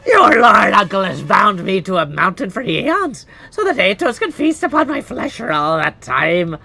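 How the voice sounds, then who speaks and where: A man's voice: sits high, very animated, normal speed, creaky, sharp tone, faint music behind, full character voice. A man voicing a defiant, embittered titan from a video game, in a grand declamatory manner. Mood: bitter, thundering. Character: defiant, embittered male titan bound to a mountain. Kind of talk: video game villain acting.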